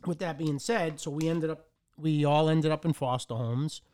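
Smooth-voiced middle-aged man telling his story. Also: on the high side, fairly even intonation, warm tone. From Dominican Republic. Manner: halting, with frequent restarts and fillers. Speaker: podcast guest. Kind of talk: personal storytelling.

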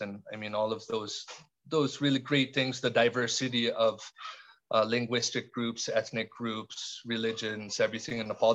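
Middle-aged Canadian man, podcast guest, reflective and halting, dull lo-fi audio. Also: medium pitch, a bit bright. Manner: thoughtful, halting, many restarts and fillers. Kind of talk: reflective conversational interview.

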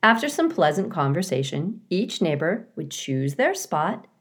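A woman reading a children's book aloud as scripted narration, in a measured, expressive storytelling way. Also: low voice. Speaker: storybook reader.

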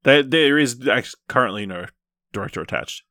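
A man's voice: medium pitch, expressive, moderate pace, sharp tone, no echo, studio quality. A man co-hosting a podcast, chatting casually through film and TV news. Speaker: podcast co-host.

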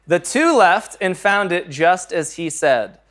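A male pastor with a fairly high voice preaches in an earnest, conversational way. He moves between scripture reading and plain talk to the congregation and pokes fun at his own stumbles. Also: animated, takes it slowly, a bit bright.

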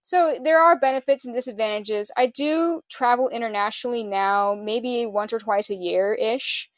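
A woman's voice: fairly high pitch, moderate pace, no echo. A woman hosting a podcast in casual chat with a friend, with a slightly nasal voice and informal speech peppered with "like" and swearing. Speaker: podcast host.

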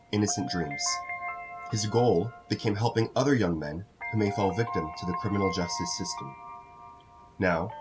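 A man's voice: fairly low pitch, low-quality recording. A man reading scripted introductory narration for a documentary-style audio drama. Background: music.

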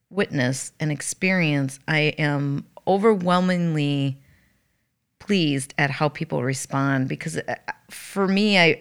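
Her voice sits low, with a slight rasp at the edges. She is a middle-aged woman in podcast conversation, speaking in an earnest, reflective way. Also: a bit bright. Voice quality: slightly raspy. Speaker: podcast co-host, hospice worker.

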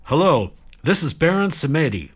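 A man's voice: fairly high pitch, very animated, normal speed, voice pushed up. Breezy, confident baron, acted by a man. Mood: breezy, assured. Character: confident, breezy self-styled baron giving advice.

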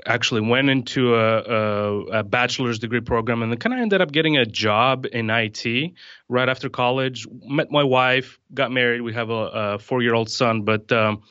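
A man from Greece in his thirties, explaining things in a relaxed, rambling way with plenty of fillers. His voice is bright and forward, recorded dry and close with a slightly dull, muffled top end. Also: mid-range voice, normal speed, smooth, no echo. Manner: relaxed, many fillers and restarts. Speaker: distiller, podcast guest. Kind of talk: casual podcast conversation.

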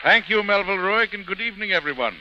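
A man's voice: high voice, expressive, quick pace, a loud crowd, heavy background noise. A man presenting and narrating a scripted 1930s radio programme in a formal, theatrical way. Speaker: guest host and narrator. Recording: muffled 1930s radio broadcast.

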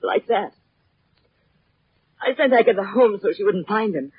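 Casting: a nervous, weary American woman singer, husky voice, defensive and halting, muffled vintage radio sound. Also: medium pitch, expressive, no room sound. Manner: hesitant, defensive. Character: anxious, world-weary female nightclub-type radio singer under suspicion. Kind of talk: 1940s radio drama acting. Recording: dull, muffled 1940s radio recording.

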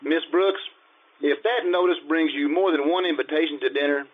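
An actor playing a shy, earnest male teacher with a halting, polite manner in an old-time radio comedy. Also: a little high, lightly acted. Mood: bashful, earnest. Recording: tinny, phone-like old radio-style sound.

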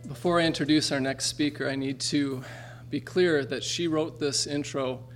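A man's voice: fairly high pitch, steady tone, normal speed, faint music behind. A man hosting a story show, reading a speaker's introduction on stage with a dry delivery and awkward asides. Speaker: event host introducing a speaker. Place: performing arts center stage.

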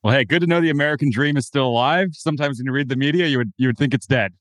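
A man hosting a podcast, reading a brisk scripted intro and then chatting in an upbeat way with his guest. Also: medium pitch, a bit bright, dry recording. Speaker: podcast host.

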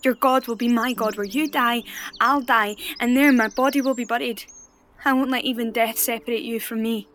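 A woman acting a loyal young widow in an audio drama, her voice high and pleading with firm resolve. Birdsong and noise sit behind her words. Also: fairly even intonation, moderate pace, a bit bright, natural voice. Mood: impassioned, resolute. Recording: noisy track with birdsong behind.

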